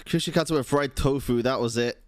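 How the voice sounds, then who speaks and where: A woman's voice: a bass voice, fairly quick, dry recording. A woman game streamer giving casual, slangy, exclamatory reaction commentary. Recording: game music behind the voice.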